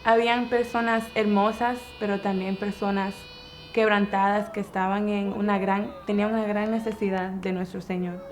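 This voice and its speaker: A woman's voice: medium pitch, level tone, normal speed. Young woman giving testimony over music. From United States, Dominican-born. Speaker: church missionary volunteer. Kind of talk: personal testimony.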